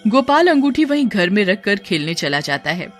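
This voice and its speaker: A woman's voice: mid-range voice, big pitch swings, moderate pace, loud music behind the voice. A woman working as narrator, reading a scripted children's story.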